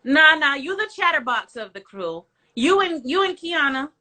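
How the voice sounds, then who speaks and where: A woman hosting a casual live-stream interview, encouraging her guest with warm, affectionate interjections. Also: sits high, sing-song, normal speed. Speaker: host. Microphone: video call.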